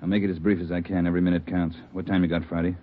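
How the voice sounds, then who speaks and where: A tinny vintage radio recording of a no-nonsense police chief. His voice is low and his delivery rapid, economical and urgent, in 1940s crime drama style. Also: level tone. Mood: grave, urgent. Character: grave, no-nonsense male chief of detectives briefing his men.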